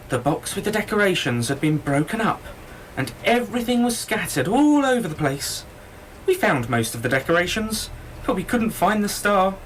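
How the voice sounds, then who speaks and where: A woman's voice: medium pitch, a little hiss or hum, close to an everyday voice, poor audio. A woman acting a hospitable, solemn bed-and-breakfast hostess in an amateur radio play, earnest and story-telling.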